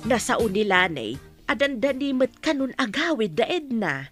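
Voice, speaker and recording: A woman's voice: on the high side, sing-song, moderate pace, a bit bright, faint music behind. An actress in an Ilocano radio drama playing a nagging, reproachful older mother.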